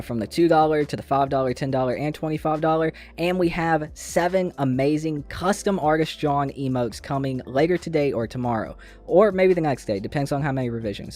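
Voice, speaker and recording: A man's voice: a little high, darkish tone. A man hosting a casual gaming news video, speaking in an informal, slangy way with some mild swearing. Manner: informal, slangy, mildly profane. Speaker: gaming YouTube host.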